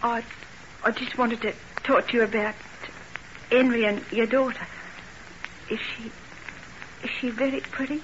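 An actress playing a guarded, bitter young working-class housekeeper with a brusque, slangy in old radio drama style. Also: female voice, mid-range voice, moderate pace, pushed and bright, close to an everyday voice. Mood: wistful. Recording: muffled noisy old radio recording.

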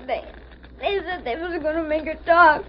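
A performer playing a frightened young American ranch boy in an old-time radio western, earnest and near crying, with halting, stammered rustic phrasing. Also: extremely high pitch, a little hiss or hum. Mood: tearful, halting. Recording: muffled vintage radio recording.

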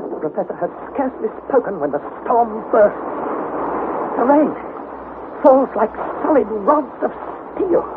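A man's voice: extremely high pitch, sing-song, unhurried, pauses often, heavily acted. A man playing an anxious young nephew who narrates a perilous sea voyage as a dramatised journal, in a theatrical, intense manner. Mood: intense, awestruck. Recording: tinny narrow band, heavy background noise.

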